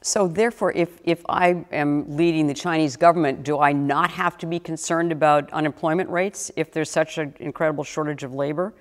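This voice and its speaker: Deep-voiced woman interviewer. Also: fairly even intonation, brisk, hardly any pauses, long reverb tail. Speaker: show host, interviewer. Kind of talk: studio interview, asking questions.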